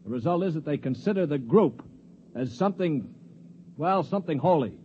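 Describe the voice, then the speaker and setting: An actor playing a cynical, sardonic spacer in a 1950s radio drama, with a dry, commanding delivery. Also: male voice, a little high, lively intonation, moderate pace, warm tone, a little hiss or hum, lightly acted. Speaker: narrator and lead. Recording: dull old radio broadcast.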